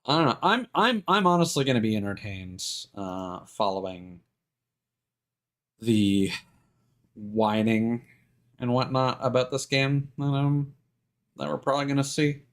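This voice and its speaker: A man's voice: medium pitch, a little slow, long pauses. A man doing casual, rambling live stream commentary on a game, thinking aloud in hesitant fragments.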